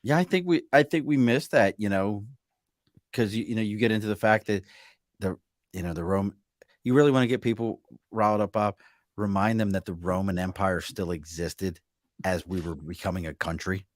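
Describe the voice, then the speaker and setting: A man's voice: lowish voice, normal speed, no echo. Casting: male podcast host, Baltimore accent, joking banter, dry close-miked sound. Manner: jokey, animated, breaks into mock voices. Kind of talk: casual interview chat.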